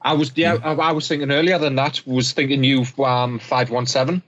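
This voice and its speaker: A man in a casual podcast chat who explains things in a measured, reflective way. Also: medium pitch, flat delivery, fairly bright tone, muffled recording.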